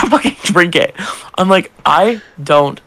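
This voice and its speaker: Young male drag queen podcaster, slightly high gravelly voice, chatty and animated. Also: slow, a little hiss or hum, low-quality recording. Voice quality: gravelly, feminine-leaning voice. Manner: animated, swearing, acts out customers' lines. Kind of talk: casual storytelling chat.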